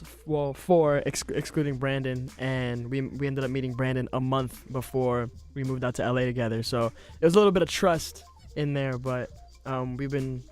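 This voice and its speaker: A man's voice: medium pitch, smooth. An eighteen-year-old man from a boy band, telling stories casually in a radio studio. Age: teens. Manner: relaxed storyteller. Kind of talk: casual interview chat.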